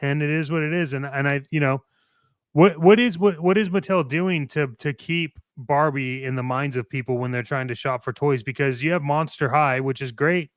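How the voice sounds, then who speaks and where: A man with a clear, smooth voice and a close, dry sound, chatting casually as a talk show co-host. He is blunt and opinionated in how he puts things. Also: on the high side, normal speed, no room sound. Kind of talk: casual chat about toy collecting.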